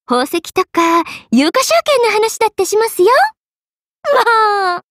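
Game role: teasing young spirit queen, very high voice, unhurried, coy and courteous, dry close studio sound. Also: expressive, a bit bright, no echo, heavily acted. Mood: chatty, pouting. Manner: coy, polite, sweetly drawling, sometimes solemn. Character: playful, money-minded young queen, half spirit, teasing yet regal. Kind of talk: Japanese mobile game character voice acting. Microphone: close studio microphone.

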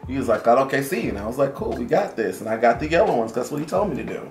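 A young man telling a playful story at a dining table, animated and laughing often. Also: medium pitch, racing, reverberant, soft background music. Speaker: roommate. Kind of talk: casual storytelling. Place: living room at a dining table.

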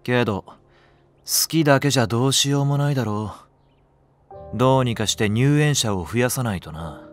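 An actor playing a gruff, cynical young male nursery teacher, curt and sardonic, in an anime drama. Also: medium pitch, expressive, normal speed, faint music behind, close to an everyday voice. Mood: blunt.

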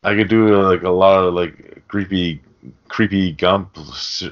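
A low-voiced man talks casually as a podcast host. He rambles and swears freely, and the recording is dull and lo-fi. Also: steady tone, unhurried. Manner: rambling, profane. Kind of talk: casual film discussion. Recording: dull, lo-fi audio.

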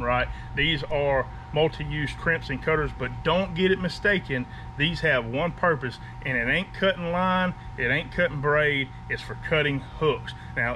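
A man's voice: fairly high pitch, sharp tone, rough recording. A man, talking casually to the camera in a driveway and stopping to ask "okay?" as he runs through fishing gear. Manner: folksy, emphatic, keeps asking "okay?". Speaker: fishing vlogger. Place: outdoor driveway beside a house. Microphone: camera mic at a few feet. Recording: outdoor camera audio with background noise.

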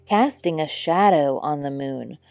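A woman voicing a wise, gentle old male owl in a storybook, patient and measured as he explains. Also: low-pitched, lively intonation, gravelly, full character voice. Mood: taken aback, explaining. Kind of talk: storybook character voice.

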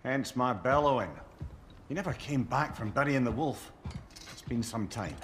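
An actor playing a wry, talkative older advisor and companion in a video game, in an animated manner. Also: male voice, medium pitch, moderate pace, natural voice. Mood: exasperated, worried. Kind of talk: cinematic video game acting. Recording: clear background noise.

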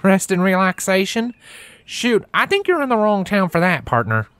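A cheerful pony mare with a strong Southern country drawl, as a male narrator puts her on, folksy and teasing. Her voice sits well above a man's usual pitch and swings up and down a great deal. The sound is dry and close. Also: big pitch swings, moderate pace, a bit bright, clear, resonant tone, dry recording. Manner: folksy and drawling, chuckling at her own jokes.

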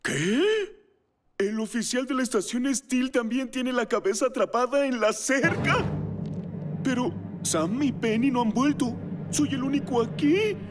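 A man's voice: very high voice, a little slow. A man voicing an earnest, hapless and goofy young firefighter in a cartoon. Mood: worried. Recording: loud music behind the voice.

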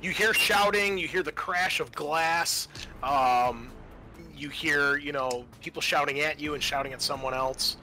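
A man's voice: fairly high pitch, a little slow, sharp tone, faint music behind. A man narrating a tabletop roleplaying game as game master, casual and thinking aloud as he goes.

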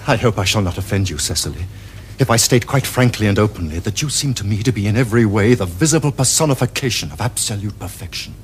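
Actor as suave upper-class English young gentleman, swooping pitch. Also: lively intonation, fast talker, a little hiss or hum, natural voice. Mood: earnest, courtly. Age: young adult. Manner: suave, mannered politeness. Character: suave young Victorian gentleman, glibly polite idler.

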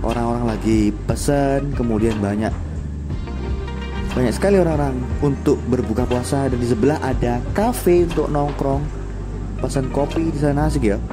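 Upbeat man touring a food court. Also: mid-range voice. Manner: chatty, upbeat, loose. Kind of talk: casual walkthrough narration. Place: busy food court and cafe, indoors at evening. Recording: loud background music over the voice. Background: loud music, crowd chatter.

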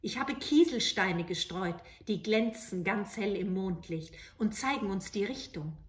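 A brave little boy from a fairy tale, acted out by a woman, gentle and reassuring. He speaks with care for others. The room echoes noticeably. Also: medium pitch, animated, measured pace, recorded from a distance, close to an everyday voice. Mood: confident. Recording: roomy home recording.

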